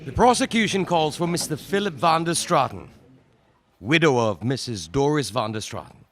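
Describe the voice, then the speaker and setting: An actor playing a formal, courteous courtroom prosecutor, speaking in a measured, solemn manner in a dramatised play. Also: male voice, a little high, animated, slight background noise, natural voice. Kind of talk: stage-play courtroom acting.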